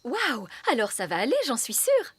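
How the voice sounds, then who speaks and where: A woman voicing a brisk, dryly polite nanny of a royal household in a cartoon dub, composed and matter-of-fact. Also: a little high, wildly swooping pitch, fairly bright tone, husky, no echo, exaggerated voice. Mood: calm, questioning.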